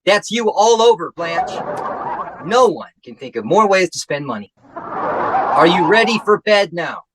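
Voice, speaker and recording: An actor playing a grumpy, nervous husband in a 1940s-style radio comedy, sarcastic and grumbling with quick comebacks. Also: male voice, high voice, sing-song, distant mic, noisy background. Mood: exasperated. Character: grumpy, nervous middle-aged husband before surgery.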